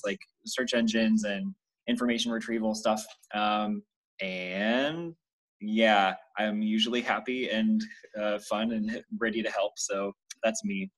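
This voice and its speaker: A man's voice: on the low side, fairly bright tone, poor audio. A man giving a cheerful, casual self-introduction on a video call. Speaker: meeting participant.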